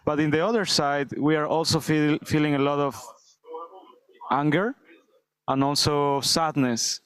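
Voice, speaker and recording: Young male activist panelist, Ecuadorian accent, earnest, crisp voice a little above average pitch. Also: fairly even intonation, a little slow, fairly bright tone, no room sound, low-quality recording. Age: young adult. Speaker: youth activist, panel guest. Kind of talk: unscripted panel discussion.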